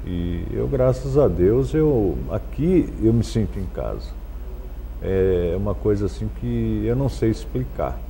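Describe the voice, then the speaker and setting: A middle-aged Brazilian man talking as an interview guest, conversational and earnest, somewhat halting as he goes. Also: on the low side, expressive, creaky, dark tone, slight background noise. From São Paulo, Brazil.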